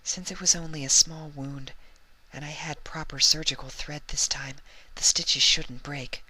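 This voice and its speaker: A man voicing a timid, gentle young female pegasus pony in a breathy, squeaky falsetto, soft-spoken and hesitant, for a fan fiction reading. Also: fairly even intonation, unhurried, poor audio. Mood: reassuring.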